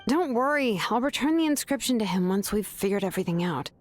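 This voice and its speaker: An actress playing a sharp, laid-back young woman who calmly reasons through a scheme in a video game. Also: mid-range voice, normal speed, lightly acted. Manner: calm, knowing, casually reasoning. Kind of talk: video game dub acting. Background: game music.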